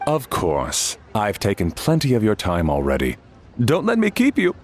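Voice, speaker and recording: Fussy, formal butler with swooping intonation. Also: male voice, fairly low pitch, huge pitch swings, moderate pace. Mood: formal, businesslike. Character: courteous, fussy male butler of a wealthy household, deferential. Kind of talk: video game voice acting.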